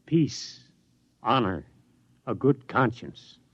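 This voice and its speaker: Older scientist for radio play: world-weary, reflective, medium-pitched, close dry vintage sound, dulled. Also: male voice, animated, breathy edge, no room sound, exaggerated voice. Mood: resigned, philosophical. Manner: measured, reflective, philosophical. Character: weary, disillusioned older male atomic scientist. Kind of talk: mid-century radio drama acting. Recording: dull vintage radio recording.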